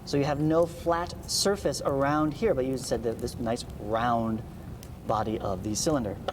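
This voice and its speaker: Male instructor, medium pitch, explanatory read-along delivery with frequent "right?" style check-ins, slightly noisy recording. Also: fairly even intonation, normal speed. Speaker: math teacher. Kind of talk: read-along lesson with asides.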